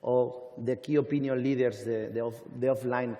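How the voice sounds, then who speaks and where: A man on an industry panel, speaking deliberately with halting, non-native phrasing. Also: mid-range voice, fairly even intonation, warm tone. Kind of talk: panel discussion. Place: conference hall stage. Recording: hall reverb from conference stage.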